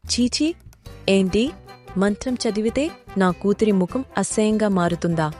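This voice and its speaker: A woman's voice: mid-range voice, measured pace, a little mellow, lightly acted. Woman voicing a concerned queen, music behind. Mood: appalled. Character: concerned Indian queen, a protective mother. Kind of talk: cartoon moral story dialogue.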